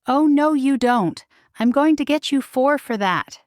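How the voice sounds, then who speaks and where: A woman voicing a refined, caring queen and mother in a children's storybook, proper, measured and delicately formal. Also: sits high, animated, no echo, studio quality, pitched above the performer's own voice. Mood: playfully scolding. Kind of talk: children's storybook character reading.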